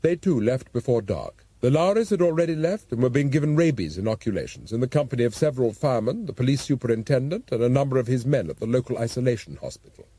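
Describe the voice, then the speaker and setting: A man narrates an audiobook with wry, expressive storytelling. His pitch moves in a lively way and his voice has a slightly husky grain. The recording is close and dry, as in a studio booth. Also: quick pace, few pauses, dry recording.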